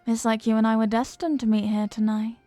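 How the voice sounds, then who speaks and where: A woman's voice: on the high side, little pitch movement, brisk, dark tone, no echo, faint music behind, lightly acted. A woman playing a flirty, playful stranger at a New Year's party in an intimate audio roleplay, with a coy, teasing, murmuring delivery. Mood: flirty, hopeful.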